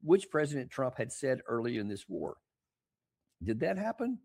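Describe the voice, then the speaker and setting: A man commenting on politics in a halting, incredulous way, heard quietly as the original track under a dub. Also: mid-range voice, very animated, creaky. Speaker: host of a political commentary show.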